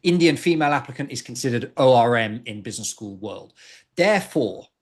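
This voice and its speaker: A man hosting a casual chat show, giving brisk, direct, point-by-point evaluations. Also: medium pitch, animated, breathy edge. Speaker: host, admissions consultant. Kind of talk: casual podcast chat, reading and critiquing posts.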